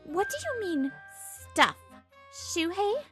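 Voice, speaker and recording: A woman's voice: very high voice, very animated, slow pace, fairly bright tone, faint music behind, heavily acted. A woman playing an exasperated young pregnant housewife in a manga dub, complaining expressively and narrating her troubles.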